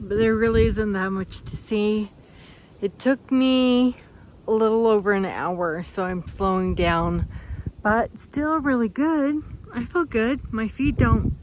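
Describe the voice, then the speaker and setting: Slow, rambling woman on a hiking trail. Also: medium pitch, a little mellow, slight background noise, poor audio. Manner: rambling, thinking aloud. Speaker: thru-hiker vlogger. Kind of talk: casual trail vlog. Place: outdoors on a mountain trail.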